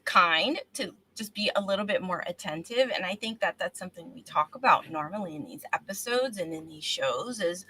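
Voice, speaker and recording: A woman's voice: fairly low pitch, expressive, moderate pace, hardly any pauses, sharp tone, a little hiss or hum. A woman hosting a casual live-streamed interview, speaking in an encouraging, affirming manner. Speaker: talk show host.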